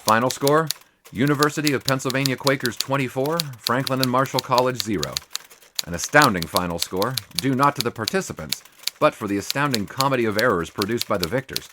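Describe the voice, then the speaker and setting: An actor playing a young 1920s college sportswriter who narrates in ornate prose and banters teasingly. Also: male voice, mid-range voice, a little fast, noisy background, close to an everyday voice. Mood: narrating. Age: young adult. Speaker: student sports writer. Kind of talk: 1920s radio drama. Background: music.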